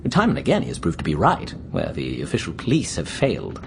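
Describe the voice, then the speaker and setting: An earnest young English gentleman in a radio drama. His voice swings very widely in pitch, polite and eager, with a romantic streak. There is clear background noise around him. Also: wildly swooping pitch, fast talker, exaggerated voice. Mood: polite, chatty. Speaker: narrator and actor. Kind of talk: radio drama narration and dialogue.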